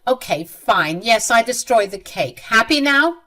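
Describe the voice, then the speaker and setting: Woman playing middle-aged mother-in-law, raised pitch, irritated, indignant, exclaiming, audio storybook drama. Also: normal speed, fairly bright tone, natural voice. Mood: irritated, defiant.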